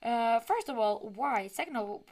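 A young woman reading a fanfiction aloud with friends, deadpan and swearing often. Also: on the high side, moderate pace. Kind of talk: casual comedic reading.

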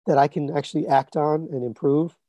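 A man's voice: on the high side, steady tone, fairly quick, warm tone, no room sound, lo-fi sound. A man in his thirties or forties with a scratchy voice, chatting casually on a podcast in a rambling way full of fillers and restarts. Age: 30s to 40s. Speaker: guest, collectibles reviewer.

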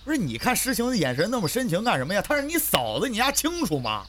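A man's voice: high-pitched, wildly swooping pitch, quick pace, fairly bright tone, slight background noise, heavily acted. A man playing a harried, foul-mouthed film director in an audio drama, blustering and scolding as he sputters at his cast. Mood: exasperated, yelling. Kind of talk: modern radio drama acting.

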